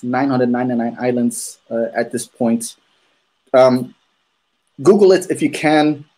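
A man in his thirties from Maluku, hosting a podcast over a video call, telling his story at an unhurried pace with many ums and restarts. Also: mid-range voice, darkish tone, rough recording. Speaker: podcast host. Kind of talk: casual conversational storytelling. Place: room at home, on a video call. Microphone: earphones with a desk microphone.

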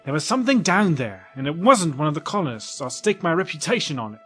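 A man's voice: on the high side, sing-song, fast talker, pushed and bright, husky, soft background music, heavily acted. A man playing an insistent, loyal spaceship crewman in an audio drama, earnest and emphatic.

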